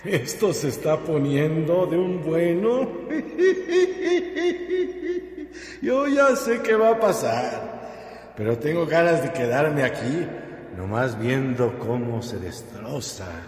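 An actor playing a mocking onlooker who relishes watching others destroy each other, with a Mexican accent, in a radio drama. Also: male voice, medium pitch, very animated, slow pace. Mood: gleeful malice. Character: gloating onlooker savoring others' mutual destruction. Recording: echoey hall with long reverb.

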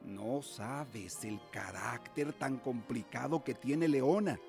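An actor playing a stern, cautious middle-aged uncle in a radio drama, speaking in a measured, formal, paternal way. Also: male voice, medium pitch, gravelly, a little mellow, faint music behind. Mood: cautioning. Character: stern, cautious guardian uncle of colonial Mexico.

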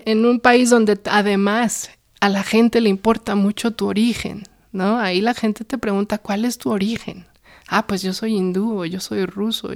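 A woman's voice: mid-range voice, darkish tone. A middle-aged woman with a Mexican accent talks reflectively and conversationally about herself, as in an interview. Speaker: independent publisher and illustrator. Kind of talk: personal interview narration.

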